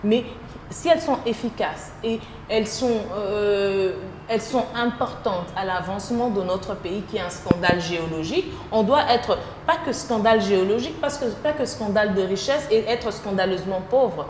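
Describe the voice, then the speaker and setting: A woman's voice: mid-range voice, normal speed. A woman with a Congolese French accent, answering as an interview guest and repeating phrases for emphasis. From Democratic Republic of the Congo. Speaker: interview guest, social entrepreneur. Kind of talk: conversational interview. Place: indoors at own premises. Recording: roomy echo with background noise.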